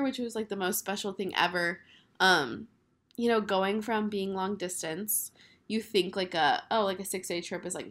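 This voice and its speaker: A young woman in her twenties hosts a casual solo podcast, her voice roughened and hoarse from a lingering cold. She rambles in a friendly, upbeat way with plenty of filler words, at a middling pitch for a woman. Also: a bit bright. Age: 20s. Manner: chatty, filler-heavy, upbeat. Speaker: podcast host.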